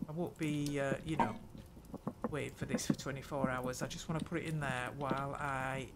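An older woman hosting a gameplay video, muttering her thoughts aloud in an unhurried way. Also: extremely low pitch, fairly even intonation, very noisy. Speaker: gaming channel host. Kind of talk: let's play commentary.

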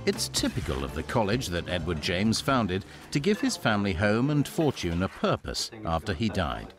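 A man reading scripted documentary narration in a measured, storytelling manner. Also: sits low. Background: music.

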